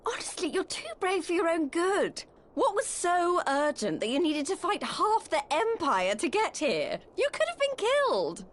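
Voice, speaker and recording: An actress playing an excitable, big-hearted young woman in a video game, impulsive and emotional. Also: extremely high pitch, unhurried, hardly any pauses, heavily acted. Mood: worried scolding.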